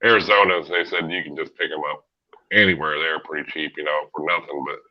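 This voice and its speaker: A man's voice: rumbling low, fairly even intonation, a little fast. An American man chatting casually as a livestream host in a folksy, loose way. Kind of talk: casual chat. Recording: slightly dull livestream audio.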